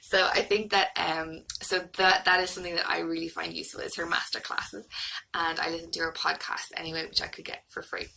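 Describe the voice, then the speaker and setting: A woman's voice: lowish voice, little pitch movement, fairly quick, gravelly, sharp tone, slight background noise, low-quality recording. An Irish woman in her thirties giving a casual, hesitant, rambling review, like a homeschooling mom vlogging.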